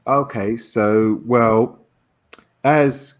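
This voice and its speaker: A man as a guest in a casual chat, explaining haltingly and stammering as he searches for words. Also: mid-range voice, fairly even intonation.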